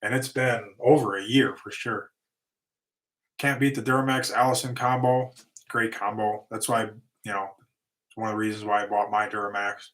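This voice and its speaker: A man's voice: on the low side, fairly even intonation, moderate pace, a bit bright. A middle-aged man casually answering viewer questions on his livestream, easygoing and rambling, his voice tiring. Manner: easygoing, rambling with restarts and fillers. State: voice getting tired and going. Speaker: livestream host, lawn and concrete business owner.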